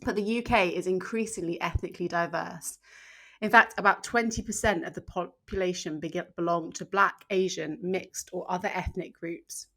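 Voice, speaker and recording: Lady podcast host, bright crisp tone, lowish voice, friendly. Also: fairly even intonation, normal speed. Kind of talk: podcast hosting with scripted intro.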